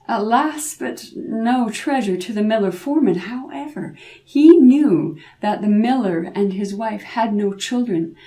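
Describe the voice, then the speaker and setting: A woman with a fairly high voice narrates an old folk tale. She is dramatic and oral in style, stretching key words and using repetition for effect. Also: darkish tone. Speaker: storyteller.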